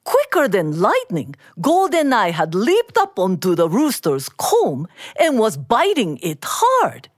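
Animated Japanese-accented woman storyteller, measured pace. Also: medium pitch, no room sound, studio quality. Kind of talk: live oral folktale storytelling.

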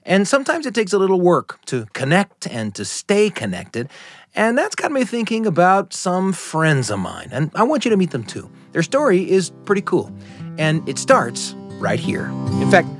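Guy hosting a radio show, really animated and welcoming, guitar music playing behind him. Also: high voice, moderate pace. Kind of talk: conversational scripted hosting. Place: radio studio. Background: music with plucked guitar.

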